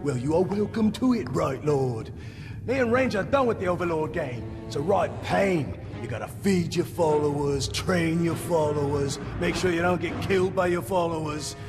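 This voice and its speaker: Small gravelly game orc, high voice, jokey rambler, music underneath. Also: male voice, animated, moderate pace. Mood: breezy chatter. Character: chatty, excitable, scrappy little orc, cheerfully nervous. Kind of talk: video game character acting. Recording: music behind the voice.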